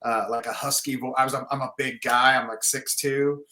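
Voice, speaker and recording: A man with a husky voice, a band frontman talking casually in an interview, rambling with many restarts. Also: mid-range voice, little pitch movement, fairly quick, sharp tone, roomy sound.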